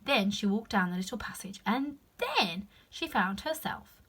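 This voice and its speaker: A woman's voice: medium pitch, lively intonation, a little slow, a bit bright, breathy edge. A woman reading a children's story aloud expressively, with chatty asides to the listener.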